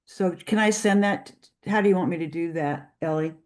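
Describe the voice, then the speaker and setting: A woman's voice: lowish voice, moderate pace, a little mellow. A woman guest presenter wrapping up a remote talk, hesitant, with fillers and restarts.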